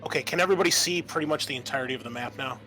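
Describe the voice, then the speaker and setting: Bright-voiced man running a tabletop game. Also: on the high side, rapid-fire, low-quality recording. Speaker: game master. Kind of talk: explaining a tabletop roleplaying game over online play.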